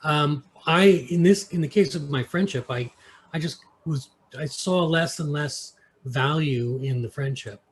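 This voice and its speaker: A man from New York with an American accent, talking casually as an interview guest and searching for words. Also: fairly high pitch. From United States, New York. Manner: halting, searching for words, thoughtful.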